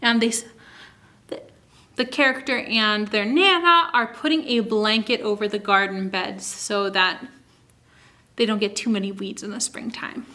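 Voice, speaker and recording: A woman hosting a children's story time, reading a picture book aloud expressively and pausing to ask young listeners questions and explain. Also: medium pitch. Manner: asks listeners questions, expressive teaching delivery.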